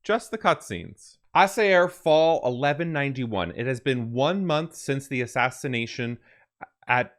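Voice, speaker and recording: A man's voice: medium pitch, lively intonation, a little slow. A man hosting a gaming reaction video, talking casually in an enthusiastic, emphatic way. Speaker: host of a gaming reaction channel.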